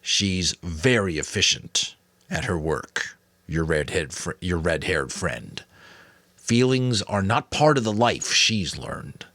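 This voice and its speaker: A man's voice: sits low, lively intonation, sharp tone, no echo, full character voice. A man voicing a grave, weary old mentor, firm but kind, in a dramatic audiobook. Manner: firm, solemn, deliberate. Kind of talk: dramatic audiobook character reading.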